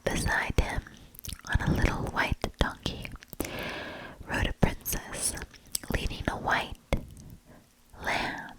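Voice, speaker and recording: Slow whispering woman reading a storybook. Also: rough recording. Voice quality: breathy unvoiced whisper. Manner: gentle, deliberate, lingering between short phrases. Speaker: host reading a storybook aloud.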